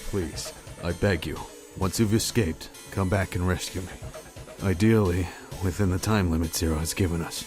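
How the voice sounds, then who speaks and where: A man playing a calm, courteous older gentleman in a game fan dub, speaking in a measured, formal way. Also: sits low, faint music behind, natural voice. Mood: gentle, resigned.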